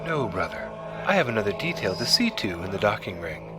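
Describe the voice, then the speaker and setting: A man playing a hushed sinister cult leader. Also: fairly low pitch, very animated, music in the background. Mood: calm menace. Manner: measured, ritual formality. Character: sinister male cult leader, calm, menacing.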